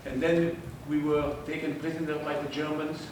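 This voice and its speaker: A man's voice: a little high, flat delivery, normal speed. Older man with a Belgian accent, echoey room. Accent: Belgian Dutch-French. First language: French. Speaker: eyewitness speaker. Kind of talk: storytelling talk to an audience. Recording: distant mic, echoey room, audible noise.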